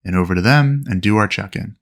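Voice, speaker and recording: A man's voice: lowish voice, quick pace. A man narrating a flight lesson as an instructor, explaining procedures methodically in an instructional style. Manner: clear, methodical explaining with aviation radio phraseology.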